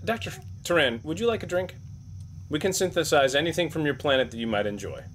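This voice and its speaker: A man playing a courteous, cautious starship captain with firm authority and a measured, formal manner in a tabletop roleplay. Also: on the high side, expressive, a little hiss or hum, pitched above the performer's own voice, lightly acted.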